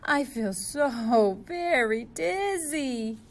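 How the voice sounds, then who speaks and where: Storybook penguin, young male, voiced by a woman: high, dramatic, hopeful, stretching words out slowly. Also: animated, full character voice. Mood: dazed. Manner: exclaiming, drawn-out words. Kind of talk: children's storybook read-aloud.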